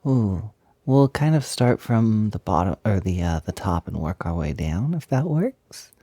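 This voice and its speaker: A man's voice: fairly low pitch, big pitch swings, a little slow, warm tone, dry recording. A young man performing a one-sided audio roleplay as a playful, eager, feminine male roommate, chatty and coaxing with a hesitant delivery. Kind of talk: intimate improvised-sounding audio roleplay, one-sided conversation.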